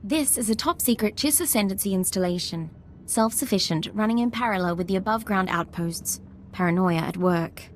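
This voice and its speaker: Brisk young woman ensign played by an actress, noisy ambience. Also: mid-range voice, natural voice. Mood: polite, dryly wry. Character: brisk, composed young female military ensign, dryly wry. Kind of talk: video game voice acting.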